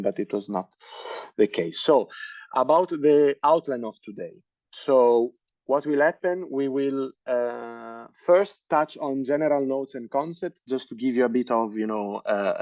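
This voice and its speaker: A man's voice: medium pitch, creaky, warm tone, no room sound, muffled recording. A man in his thirties with an Italian accent, giving an online science lecture with many hesitant fillers and restarts. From Italy. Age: 30s.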